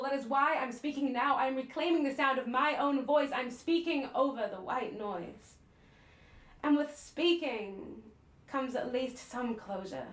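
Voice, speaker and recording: A young woman speaks in a high-pitched voice with a New Zealand accent. She delivers spoken-word poetry earnestly and deliberately, leaving dramatic pauses between phrases. The recording is roomy, with a clear echo around her voice. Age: young adult. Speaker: slam poet. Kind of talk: slam poetry recitation.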